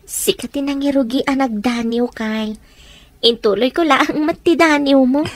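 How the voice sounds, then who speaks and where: A woman's voice: sits high, moderate pace, no echo, close to an everyday voice. An actress playing a humble, earnest young woman in an Ilocano radio drama, with an Ilocano accent. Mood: tender, romantic.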